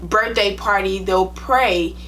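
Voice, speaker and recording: A young woman with a Liberian accent talks straight to her viewers in a casual vlog. She is chatty and frank, with a voice of ordinary pitch. A faint hum sits under the recording. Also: slow, fairly bright tone. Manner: chatty, direct, plain-spoken. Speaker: nursing vlogger.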